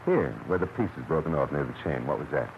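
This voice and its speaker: A man's voice: very deep voice, expressive, fast talker. An American man playing a wry, slangy, smitten private detective in a 1940s radio drama. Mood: probing, casual. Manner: wry, slangy, world-weary. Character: smitten, wisecracking hard-boiled male private detective. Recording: noisy, muffled old radio broadcast.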